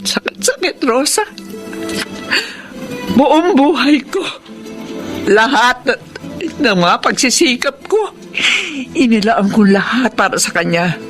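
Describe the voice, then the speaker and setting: A woman's voice: a little high, big pitch swings, slow, pauses often, music in the background. An actress playing a middle-aged, bitter mother in an emphatic, accusing radio melodrama style. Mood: anguished, aggrieved. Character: bitter, wounded mother who refuses to forgive her daughter. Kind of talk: Tagalog radio melodrama acting.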